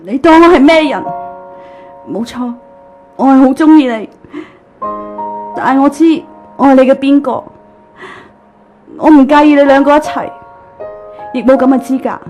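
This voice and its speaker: A woman acting a caring young woman with an unrequited love in an online radio drama, gentle and restrained, with a Hong Kong Cantonese accent. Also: sits high, moderate pace, long pauses, muffled recording, faint music behind, lightly acted. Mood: hurt, earnest.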